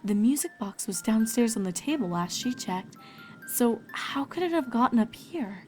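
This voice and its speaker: A woman's voice: a little high, lively intonation, moderate pace, faint music behind. A woman storyteller reading scripted fiction aloud, with ambient noise behind her.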